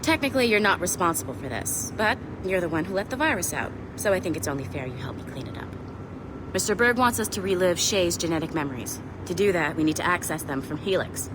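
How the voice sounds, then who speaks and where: An actress playing a brisk, sarcastic young security contractor in a video game, bossy and snarky. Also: female voice, on the low side, lively intonation, background noise, close to an everyday voice. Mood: wry, bossy.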